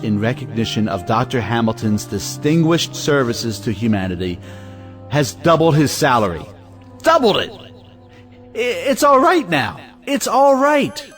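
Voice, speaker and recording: An actor playing a domineering, excitable head of a well-to-do family, pompous and declamatory, in an audio play. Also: male voice, medium pitch. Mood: flustered. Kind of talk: theatrical audio play acting.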